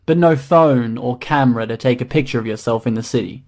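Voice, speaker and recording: Mid-pitched male, actor as angry forum poster, scornful, sarcastic, accusing, audiobook character. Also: fairly quick, darkish tone, lightly acted.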